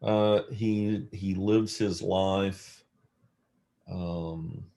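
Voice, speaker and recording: A mature man with a low, deep voice answers as an interview guest. He speaks slowly and reflectively, choosing his words haltingly as he tells casual stories. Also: steady tone, vocal fry, warm tone. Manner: hesitant, measured, halting delivery.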